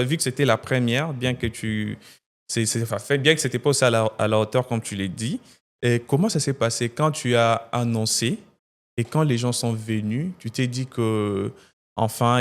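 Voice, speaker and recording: A man interviewing a guest, asking long, rambling questions full of fillers and restarts. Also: medium pitch.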